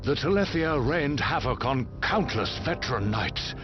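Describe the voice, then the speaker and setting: A man's voice: mid-range voice, very animated, normal speed, lightly acted. A man playing a stately, proud, benevolent emperor in a video game, with grand, formal delivery. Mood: proud, solemn. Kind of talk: video game cutscene acting. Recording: muffled game audio under music.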